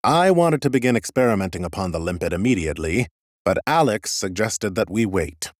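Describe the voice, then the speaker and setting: A pompous, animated lich sorcerer. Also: male voice, on the low side, brisk, no room sound, studio quality. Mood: dry, measured. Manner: pompous, formal, deadpan. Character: haughty, dry-witted male lich sorcerer, bored scholarly master. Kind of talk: scripted first-person audiobook narration and dialogue.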